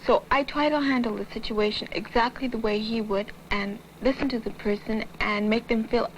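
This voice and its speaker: Higher-pitched secretary, noisy old film. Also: female voice, steady tone, normal speed. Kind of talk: documentary interview. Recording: noisy 1970s training film soundtrack.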